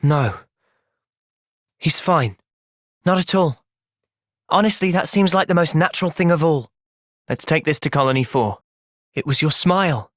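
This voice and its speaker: A man playing a gentle, thoughtful young soldier hero in a video game, earnest and reflective in manner. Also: on the high side, a little slow, long pauses, dry recording, studio quality, lightly acted. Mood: calm, earnest. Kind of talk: video game cutscene voice acting.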